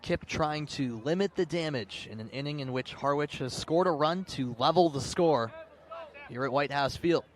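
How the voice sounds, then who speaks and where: A man doing live baseball play-by-play commentary, narrating pitch by pitch in short, clipped phrases. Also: normal speed. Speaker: play-by-play announcer. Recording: clear outdoor ballpark background noise. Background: outdoor ambient noise.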